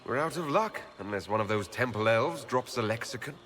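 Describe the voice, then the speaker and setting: An actor playing a curious, decisive quest leader in a video game, direct and a little wry. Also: male voice, medium pitch, lively intonation, fairly quick, fairly bright tone, a little hiss or hum, lightly acted. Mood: wry, keen. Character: curious, decisive fantasy hero leading a quest.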